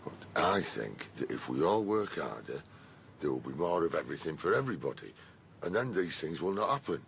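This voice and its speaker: An actor playing a big, loyal, earnest male carthorse in a radio drama, with a deep gravelly voice. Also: big pitch swings, fairly quick, a bit bright, a little hiss or hum, heavily acted. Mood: earnest, determined.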